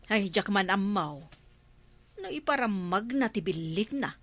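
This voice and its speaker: A woman's voice: medium pitch, expressive, a little slow. A woman playing an irritable, grumbling village councillor in a comedy drama. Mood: annoyed.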